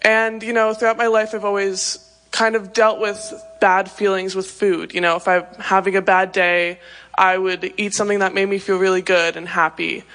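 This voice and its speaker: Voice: medium pitch, little pitch movement, moderate pace, fairly bright tone. A young adult speaking candidly and reflectively about personal experience, with some hesitation. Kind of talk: personal testimony.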